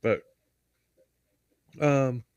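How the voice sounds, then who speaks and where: A man's voice: medium pitch, extremely slow, darkish tone, smooth, dry recording, dull audio. Rambling American man, podcast co-host. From United States. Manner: rambling, self-interrupting, emphatic. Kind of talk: casual podcast chat and true-crime storytelling.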